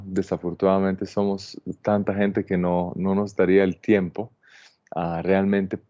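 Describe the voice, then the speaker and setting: A man in his forties from Israel with an Israeli accent, giving a calm, halting introduction to an online plant workshop, with many fillers. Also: low-pitched, no room sound. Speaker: landscape designer teaching a plant workshop. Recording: dull, dry computer-mic sound.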